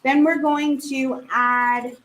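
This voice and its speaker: A woman's voice: high voice, little pitch movement, slow, noisy background. A woman leading a live cooking demo in a kitchen, chatty and upbeat, with lots of fillers. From Puerto Rican family. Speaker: cooking instructor.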